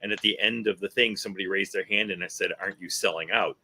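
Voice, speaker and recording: A man's voice: sits low, steady tone, fast talker, bright, forceful tone, slightly rough. A man telling anecdotes casually as a guest on a comics creators' panel.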